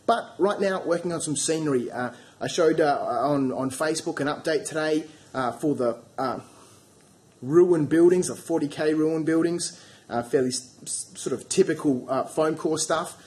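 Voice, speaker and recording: A man with a cold and a croaky voice, casually talking through his hobby work with many fillers and restarts. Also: fairly high pitch, normal speed, a little mellow. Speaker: hobby channel host. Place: home hobby studio.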